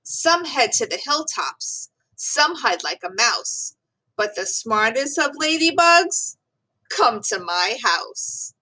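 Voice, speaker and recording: Female teacher for children's read-aloud: high-pitched, unhurried, expressive and deliberate. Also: poor audio.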